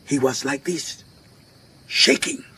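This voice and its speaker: An actor playing a worried, earnest garage owner in a radio drama, with a Southern African accent, speaking gravely and deliberately. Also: male voice, medium pitch, pushed and bright, a little hiss or hum. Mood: grave, shaken. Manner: grave, deliberate, repeats phrases for emphasis.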